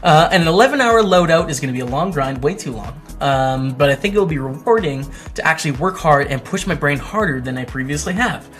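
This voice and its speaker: A man's voice: fairly high pitch, lively intonation, fairly quick, hardly any pauses, fairly bright tone, soft background music. A man hosting a casual vlog, reading written posts aloud and adding wry, chatty asides as he goes. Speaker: host, poker streamer. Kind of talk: casual commentary while reading old blog posts aloud.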